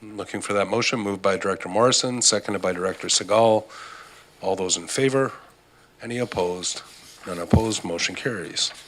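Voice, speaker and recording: Board chair, lowish male voice, brisk procedural calls, desk mic. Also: a bit bright, a little hiss or hum. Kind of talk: chairing a meeting. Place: council boardroom. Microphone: desk microphone.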